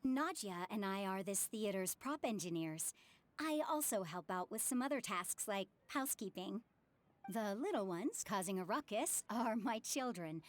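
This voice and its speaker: A woman playing a gentle, contented working mother in a video game, friendly, measured and polite. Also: mid-range voice, natural voice. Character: gentle, contented widowed mother, theater prop worker. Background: game music.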